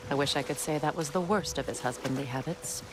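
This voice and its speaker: A woman playing a proud, wistful Norse goddess and weary former queen in a video game, speaking in a measured, solemn way. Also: sits low, music in the background, natural voice, rough recording. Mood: wry, measured. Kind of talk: dramatic video game acting.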